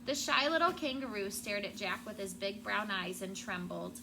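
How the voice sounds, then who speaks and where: A woman's voice: medium pitch, normal speed. Woman teacher reading aloud, noisy recording. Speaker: schoolteacher. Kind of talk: storybook read-aloud to children. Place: classroom. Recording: clear background noise.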